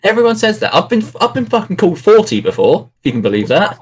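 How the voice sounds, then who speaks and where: A man's voice: high voice, very animated, fast talker. A man, bantering casually in a blunt, jokey, sweary way. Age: 20s.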